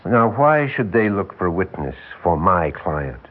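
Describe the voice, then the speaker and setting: An actor playing an older, world-weary defense lawyer, folksy and wry, with rambling, emphatic delivery in a 1960s radio drama. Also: male voice, low voice, very animated, measured pace, narrow, tinny audio, exaggerated voice. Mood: wry, musing. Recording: band-limited vintage 1960s radio recording.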